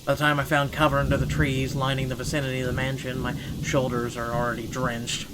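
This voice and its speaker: A man with a medium-pitched voice narrates a visual novel in an expressive, dramatic first-person style. He drops in blunt, sweary asides as a gaming host. Clear background noise and ambience sit behind his voice. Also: fast. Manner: expressive, sweary commentary between read passages.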